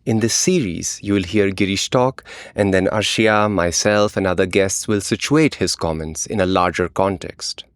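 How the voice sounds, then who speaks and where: A man's voice: deep voice, normal speed, few pauses, clean tone, no room sound, clean studio sound. A man co-hosting a podcast, reading conversational narration. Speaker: podcast co-host.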